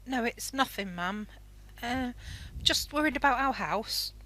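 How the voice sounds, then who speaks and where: An actress playing a worried, sharp-tongued wartime wife and mother in an amateur radio drama, anxious and guarded. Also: a little high, expressive, a little slow, exaggerated voice, poor audio.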